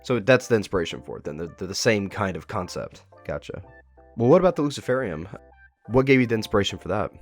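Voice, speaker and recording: Fast-talking, lower-pitched man interviewing over music. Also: very animated. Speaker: interviewer, host. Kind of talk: casual interview about a web horror series. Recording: music playing behind.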